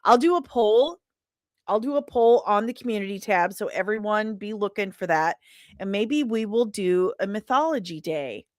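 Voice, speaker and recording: A woman hosting a casual livestream, talking in an animated, rambling and self-deprecating way. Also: mid-range voice, moderate pace, no echo, clean studio sound. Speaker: livestream host.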